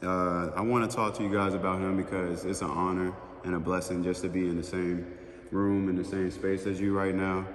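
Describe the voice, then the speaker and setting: A man's voice: sits low, little pitch movement, a little fast. A man hosting a casual interview, talking in an earnest, encouraging way. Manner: earnest, encouraging, many affirmations. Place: Atlanta area. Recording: echoey with long reverb.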